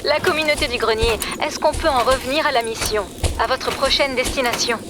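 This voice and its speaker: A woman's voice: high voice, brisk, noisy background, lightly acted. An actress playing a wry, businesslike woman mission coordinator in a French audio adventure, teasing but focused.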